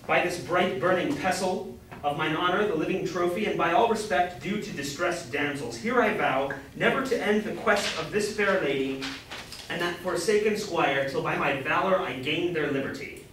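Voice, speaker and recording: A man's voice: sits high, moderate pace, fairly bright tone. A man playing a pompous, mock-heroic knight errant, declaiming in archaic English in an amateur staged comedy reading. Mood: grandly heroic. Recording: very echoey room, distant mic, faint noise.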